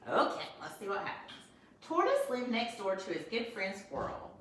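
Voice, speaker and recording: An older woman, a first grade teacher, reading a storybook aloud to children with expressive asides, filmed at home on a phone. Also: medium pitch, a little hiss or hum. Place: home, with a small grandchild nearby. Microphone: phone camera held by her son. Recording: echoey room, voice from a distance.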